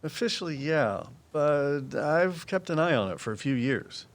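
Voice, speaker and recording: An actor playing a guarded, knowledgeable woodsman in an audio drama, explaining things in a measured, folksy way. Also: a little high, lively intonation. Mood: reflective. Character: Walt, guarded, knowledgeable woodsman and conservation volunteer. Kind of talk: full-cast audio drama dialogue.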